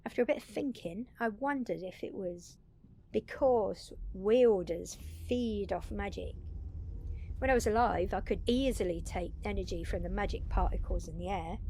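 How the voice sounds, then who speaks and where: A confident female vampire, acted by a woman, speaks at a medium pitch. She explains the magical world like a seasoned mentor, chatty and wry, talking straight to one listener in an intimate audio drama. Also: normal speed, dark tone, slight background noise, close to an everyday voice. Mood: reflective, matter-of-fact. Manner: chatty, informal explaining with occasional swearing.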